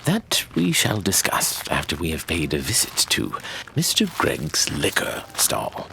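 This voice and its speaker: An actor playing a scholarly archivist in a fantasy audio drama, telling old legends in a theatrical, savoring manner. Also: male voice, rumbling low, wildly swooping pitch, a little slow, gravelly, bright, forceful tone, a little hiss or hum, exaggerated voice, low-quality recording. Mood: jovial, indulgent. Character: scholarly male Lord Archivist, fond of drink, recounting sad legends.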